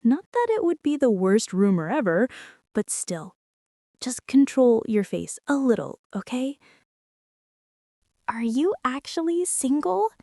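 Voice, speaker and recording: A woman's voice: high-pitched, very animated, measured pace, long pauses, mellow, no room sound, clean studio sound, full character voice. A young woman playing a bossy, defensive college girl with a secret crush, rambling and teasing straight to the listener in an intimate girlfriend ASMR roleplay.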